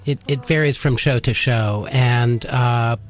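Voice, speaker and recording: A man of medium pitch speaks as a guest in a radio interview. He explains haltingly, with many pauses and hesitations. Faint music runs behind his voice. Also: steady tone. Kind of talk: casual explanatory interview talk. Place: college radio studio.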